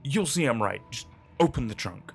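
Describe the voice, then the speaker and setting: A man performs a young man coming apart with fear in an audio horror story. The voice is frantic, paranoid and pleading, with a gravelly edge and wildly swinging pitch, over music. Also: expressive, moderate pace. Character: terrified young man losing his mind, paranoid and raving.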